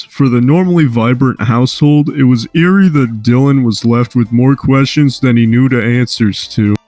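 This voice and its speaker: A man's voice: mid-range voice, a little mellow, dry recording. Casual sweary man reading aloud. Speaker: amateur fan fiction reader.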